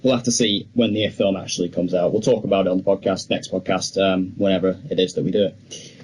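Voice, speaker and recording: A young man with a fairly low voice co-hosts a film podcast. He talks in a casual, chatty way and gives his opinions freely. The recording is home-made, with faint noise behind him. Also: little pitch movement, quick pace, darkish tone, low-quality recording. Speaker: podcast co-host.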